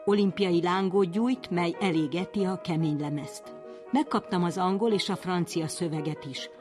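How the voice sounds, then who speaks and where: A woman reading a text aloud on the radio in a steady, deliberate way. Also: lowish voice. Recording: voice over music bed. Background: music.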